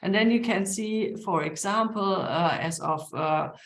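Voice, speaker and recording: A woman's voice: medium pitch, unhurried, reverberant. A woman speaking on an online climate panel, hesitant with many fillers and restarts.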